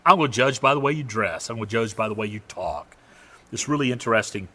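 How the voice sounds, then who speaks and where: A middle-aged man with a Southern US accent, preaching a conversational sermon with loud emphatic peaks and quiet asides. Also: medium pitch, fast. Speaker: pastor.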